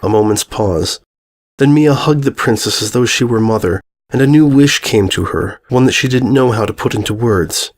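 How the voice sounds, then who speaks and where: A man reading a story as narrator, expressive and lyrical, lingering on repeated phrases. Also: mid-range voice, normal speed, a little hiss or hum.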